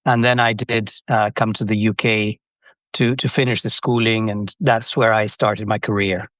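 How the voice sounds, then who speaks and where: A man from Brazil guesting on a business podcast, friendly and reflective, with a voice of ordinary middle pitch. His sound comes through a slightly dull, lo-fi line, dry and close. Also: level tone, moderate pace, a bit bright, no echo. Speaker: guest, company CEO. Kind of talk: casual podcast interview.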